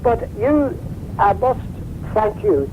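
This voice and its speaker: A high-voiced man with a Donegal Irish accent rings in to a radio programme and tells a story, putting on the voices of the people in it. His voice comes through a tinny phone line with a loud hiss behind it. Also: very animated, takes it slowly. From Ireland. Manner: storytelling, acts out quoted voices. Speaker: radio caller. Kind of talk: phone-in anecdote.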